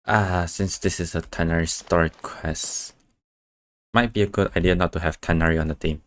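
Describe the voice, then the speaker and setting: A man's voice: sits low, fairly even intonation, normal speed. A man giving casual, unscripted live gameplay commentary as a streamer, muttering to himself. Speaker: game streamer. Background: faint game music.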